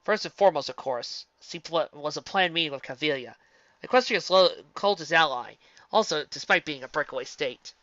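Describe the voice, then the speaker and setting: Bright-toned man reading a story aloud. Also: a little high, moderate pace, no room sound, muffled recording. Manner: steady reading with occasional slips and restarts. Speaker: fanfiction reader. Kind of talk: storybook narration.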